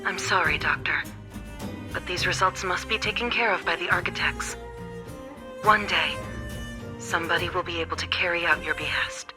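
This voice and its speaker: A woman playing a composed, commanding female ruler in a video game, formal and measured. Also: on the low side, music in the background, lightly acted. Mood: regretful.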